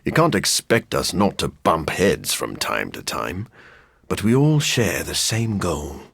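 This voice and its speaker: A brooding male vampire scientist from a video game. His voice is husky and gravelly, with pitch that swings very widely. He speaks formally and awkwardly, with deadpan wit, recorded close and very dry. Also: unhurried, no echo, exaggerated voice. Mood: dry, weary.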